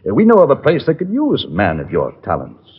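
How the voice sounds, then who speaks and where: Smug male villain, husky, very animated pitch, imperious, mocking courtesy, vintage radio play, dull lo-fi sound. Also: fast. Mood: coldly polite. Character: smug, commanding traitor nephew, a cold villain. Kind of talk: 1940s-50s radio drama acting. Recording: dull, lo-fi old radio recording.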